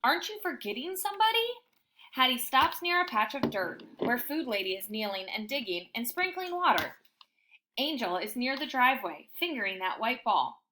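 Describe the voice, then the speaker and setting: A woman reading a children's book aloud in an animated, emphatic storytelling style. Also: a little high. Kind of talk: expressive children's book narration.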